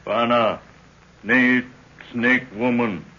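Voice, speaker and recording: Slow-talking loyal servant. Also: male voice, medium pitch, steady tone, sharp tone, exaggerated voice. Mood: blunt, dutiful. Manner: broken pidgin, clipped phrases. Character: loyal native African servant, eager to fight. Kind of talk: 1930s radio drama acting. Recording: muffled old 1930s radio recording.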